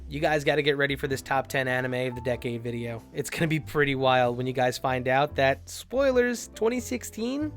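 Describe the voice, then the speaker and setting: A man giving a casual, chatty episode recap in an informal, lightly sweary way, as an online anime reviewer. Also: medium pitch.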